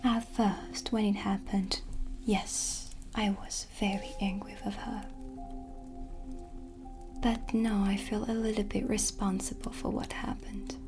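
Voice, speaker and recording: A gentle, wistful witch played by a woman whispers very softly and slowly in an ASMR fantasy roleplay. Her pitch stays fairly even, breathy and soothing, with music playing behind her. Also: close to an everyday voice. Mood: reflective, regretful. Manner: slow, soothing, unhurried.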